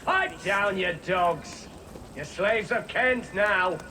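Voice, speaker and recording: An actor playing a harsh, bullying soldier in a video game. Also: male voice, high-pitched, moderate pace, bright, forceful tone, slight background noise. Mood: barking. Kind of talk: video game character acting. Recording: game ambience behind the voice.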